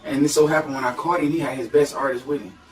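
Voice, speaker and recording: Detroit guy rapping about his start, quick slangy talk, sounds kinda far from the mic, echoey. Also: on the high side. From Detroit, USA. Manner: slangy, animated storyteller. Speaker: guest rapper. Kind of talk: casual podcast storytelling.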